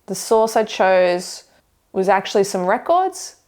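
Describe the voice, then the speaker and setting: A young woman seated in a living room, giving a measured, detailed review of speakers to camera. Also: mid-range voice, big pitch swings, darkish tone. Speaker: audio equipment reviewer. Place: living room with hi-fi shelves, indoors.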